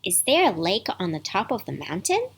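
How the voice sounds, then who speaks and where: A woman voicing a curious, excitable young granddaughter in a bedtime story, with eager questions. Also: medium pitch, normal speed, creaky, breathy edge, full character voice. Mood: excited.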